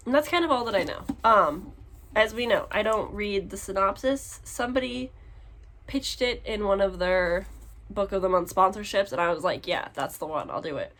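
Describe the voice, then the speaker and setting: A woman chatting casually to her vlog audience in an expressive, giggly, exclaiming way, as a book vlogger showing her purchases. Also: medium pitch. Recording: clear background noise.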